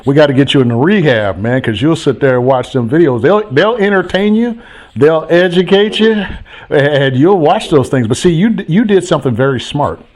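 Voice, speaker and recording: Animated man coaching a caller. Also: a little high, normal speed. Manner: animated, preachy, repeats himself. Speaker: host, real estate coach. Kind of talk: casual call-in chat.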